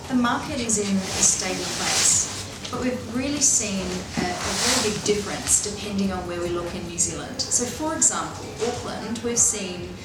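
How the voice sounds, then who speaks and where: A middle-aged woman talks through her answers in a measured, businesslike way, pausing on fillers and restarting phrases. She sounds set back from the mic in a very echoey room. Loud noise runs behind her. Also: medium pitch, far from the mic. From Australia. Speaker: interviewee. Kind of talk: interview answers.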